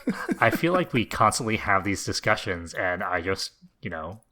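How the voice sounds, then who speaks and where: A man with a fairly low but bright, forceful voice talks casually as a podcast co-host. He reasons conversationally through his side of a friendly debate. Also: sing-song, moderate pace. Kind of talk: casual debate about video games.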